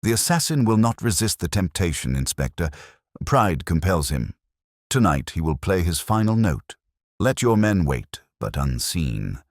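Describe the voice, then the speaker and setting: A man voicing a calm, intense male detective in an audiobook, speaking in measured, theatrical, authoritative pronouncements. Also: low-pitched, no echo, clean studio sound, exaggerated voice. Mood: commanding. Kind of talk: audiobook character dialogue.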